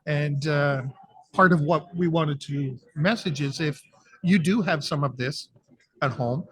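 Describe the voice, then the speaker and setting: A Canadian man co-hosting a live radio show, hoarse and losing his voice, speaking in an easygoing, hesitant way with many fillers. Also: fairly high pitch, a little slow. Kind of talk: casual live radio chat.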